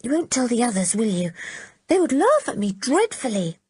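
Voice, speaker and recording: A woman voicing a frightened, excitable young girl who shrieks high and breathless. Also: normal speed, husky, no room sound, clean studio sound, heavily acted. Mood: embarrassed.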